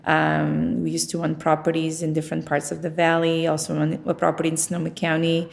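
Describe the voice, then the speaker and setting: A woman's voice: low voice, creaky, darkish tone. A middle-aged woman from Portugal, talking conversationally about her work in an interview. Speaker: winemaker being interviewed.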